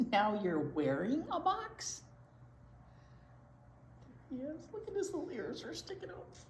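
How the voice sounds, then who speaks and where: Female storytime teacher, high-pitched and unhurried, playfully exaggerated read-aloud delivery for young children, roomy sound. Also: wildly swooping pitch. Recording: roomy echo, clear background noise.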